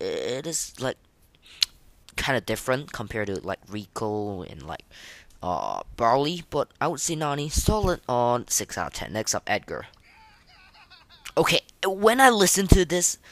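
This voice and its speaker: A man's voice: fairly low pitch, a bit bright, no room sound. Male podcast host, casual reaction commentary. Swinging intonation, informal stop-start delivery, close dry sound. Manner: informal, halting, filler-heavy rambling. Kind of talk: casual reaction commentary, rating game voice lines.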